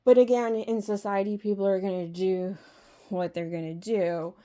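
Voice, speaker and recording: A woman speaking off the cuff in an opinion vlog, halting and rambling, often posing rhetorical questions. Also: mid-range voice, little pitch movement, mellow. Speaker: vlog host. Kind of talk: unscripted opinion monologue.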